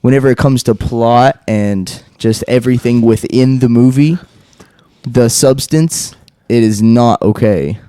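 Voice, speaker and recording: A man's voice: medium pitch, measured pace, mellow, clear, resonant tone, no room sound. A young man with a Southern accent chatting casually on a podcast as the painter guest, laid-back, trailing off and asking blunt questions.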